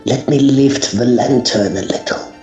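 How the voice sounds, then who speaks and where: A man playing a measured Edwardian occult detective, dry and understated, in a tongue-in-cheek audio drama. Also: medium pitch, mellow, reverberant, soft background music, lightly acted. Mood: dry, curious.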